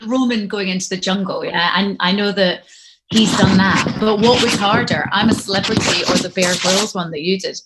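A woman in her forties hosts a chatty radio interview from home. She speaks casually at a middling pitch, recorded over a video call. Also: moderate pace, heavy background noise. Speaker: radio host interviewing guests. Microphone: video call device mic.

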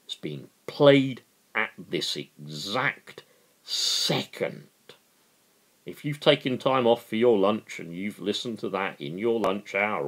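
A middle-aged man giving a dramatic reading and impassioned political commentary, emphatic and deliberate, with dramatic pauses. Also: sits low, slightly rough. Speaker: amateur reader and political commentator. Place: small living room at home, indoors.

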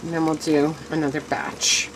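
A woman's voice: low-pitched, little pitch movement, moderate pace, background noise. A woman hosting a casual home cooking demonstration in her kitchen, chatty and enthusiastic, talking to her viewers as she works at the stove. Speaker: home cooking show host.

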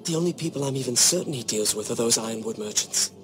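Actor as earnest young servant informant. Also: male voice, medium pitch, animated, fast, soft background music, lightly acted. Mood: earnest, urging. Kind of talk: video game drama acting.

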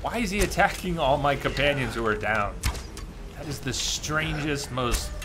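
A man's voice: medium pitch, expressive, normal speed, background noise. A man in his thirties giving casual live gameplay commentary as a streamer, grumbling in a wry, puzzled way. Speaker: game streamer. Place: indoor streaming setup. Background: game music and effects.

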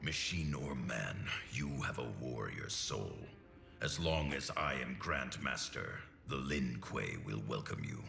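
Casting: stern, honourable ninja grandmaster, cinematic game, extremely low and heavily creaky, measured speech. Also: male voice, animated, exaggerated voice. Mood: solemn resolve. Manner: measured, grave, formal. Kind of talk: cinematic video game acting. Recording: echoey, under loud music.

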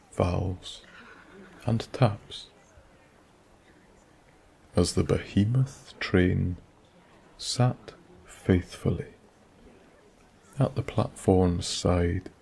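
A man with a Scottish accent narrating a soft-spoken bedtime story in a slow, hushed, soothing way that drifts into whispers. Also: lowish voice, creaky, slightly rough, poor audio.

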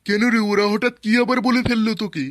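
A man's voice: high voice, steady tone, fast talker, a bit bright, no room sound. A man voicing a bossy, combative cartoon village rival who talks in a swaggering, taunting, slangy way. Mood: indignant. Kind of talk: cartoon dialogue. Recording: music bed behind.

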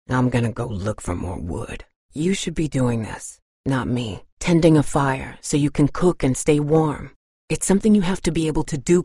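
An actress playing a tough, weary woman surviving an apocalypse in a video game, husky and blunt, speaking in a serious, terse way. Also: rumbling low, dark tone, no echo, clean studio sound, exaggerated voice. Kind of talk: dramatic video game voice acting.

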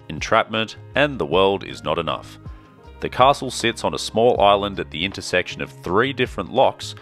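A man narrating his travel vlog in a calm, measured, scripted way. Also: on the low side. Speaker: travel vlogger.

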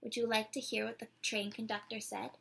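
A woman in her twenties doing an expressive, theatrical picture-book read-aloud for children, drawing out words and putting questions to her young listeners. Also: on the high side, a little fast, creaky, breathy edge. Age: 20s. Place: small decorated room indoors.

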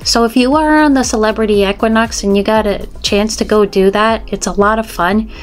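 A woman's voice: mid-range voice, steady tone, brisk. A woman narrating a casual guided tour as a travel vlogger, chatting to viewers with tips and questions.

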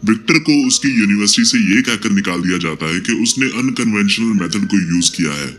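A man narrating a scripted film explanation in a steady storytelling manner. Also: on the low side, brisk. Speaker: movie recap narrator. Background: background music.

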